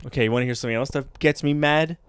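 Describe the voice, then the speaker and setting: A man co-hosting a casual comedy podcast, talking in a crude, excitable way with lots of swearing. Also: medium pitch, racing, smooth. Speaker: podcast co-host.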